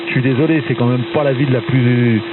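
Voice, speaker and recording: Male, opinionated slangy rambler on amateur radio; thin narrow-band signal buried in loud hum and noise. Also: medium pitch, fairly even intonation, fast. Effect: amateur radio transmission. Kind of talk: casual radio chat. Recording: very noisy, phone-like ham radio signal, humming. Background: loud radio static and hum.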